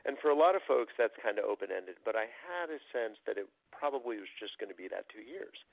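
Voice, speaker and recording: A low, gravelly man speaking as an interview guest. He explains things thoughtfully, pausing on fillers. His voice comes through a thin, phone-like line with no room sound. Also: lively intonation, no room sound. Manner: reflective and explanatory, with frequent fillers. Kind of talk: casual interview talk. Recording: tinny phone-like line, dry.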